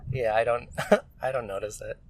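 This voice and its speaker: A man's voice: medium pitch, steady tone, normal speed, a crowd in the background. A man from California with an American accent, chatting casually and hesitantly, often trailing off. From California, United States. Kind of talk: casual chat. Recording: noticeable ambient background noise.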